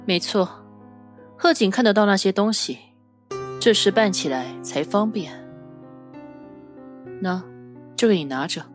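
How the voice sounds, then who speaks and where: A woman's voice: mid-range voice, expressive, unhurried, long pauses, soft background music, natural voice. A woman playing a secretive, commanding woman in a suspense radio drama, with a curt, businesslike delivery. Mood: businesslike, mysterious. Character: secretive, commanding woman handing out shady commissions.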